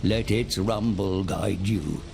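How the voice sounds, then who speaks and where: An actor playing a servile, scheming advisor, giving a solemn, coaxing briefing in a game. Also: male voice, deep voice, takes it slowly, gravelly, darkish tone, slight background noise, exaggerated voice. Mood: coaxing counsel. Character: servile, scheming male advisor to an ogre lord.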